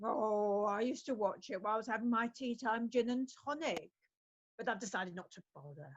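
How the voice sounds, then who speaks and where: A woman's voice: on the high side, normal speed, muffled recording, close to an everyday voice. A woman playing a cheeky, gossipy older neighbour in an amateur comedy sketch. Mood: gloomy chatter.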